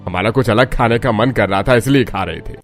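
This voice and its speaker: An elderly family father played by a man from a Hindi cartoon, with a fairly low voice. He is plain-spoken and emphatic, set in his ways, with faint music behind him. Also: brisk, natural voice. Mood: sulky, defensive.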